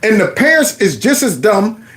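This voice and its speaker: A middle-aged man hosting a commentary show, mocking and animated with loud outbursts. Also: high voice, moderate pace. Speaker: host. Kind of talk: commentary vlog reacting to clips.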